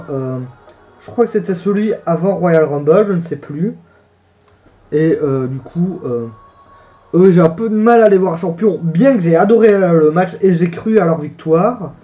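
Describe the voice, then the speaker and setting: A man's voice: a little high, muffled recording. A young man rambling through wrestling predictions in casual solo commentary, full of hesitations and self-corrections. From French-speaking. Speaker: YouTuber giving wrestling predictions.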